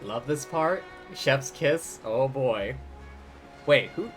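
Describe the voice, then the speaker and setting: A man's voice: medium pitch, expressive, slow. A man giving casual, wry live commentary as he streams a video game, with game music behind him. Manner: wry, sarcastic.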